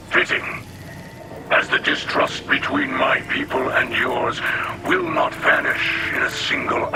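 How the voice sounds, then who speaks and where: Old wise alien, raspy breathy growl, super slow n solemn, game cutscene w loud music. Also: male voice, mid-range voice. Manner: slow, ceremonial phrasing. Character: gentle, wise male alien elder, solemn and hopeful.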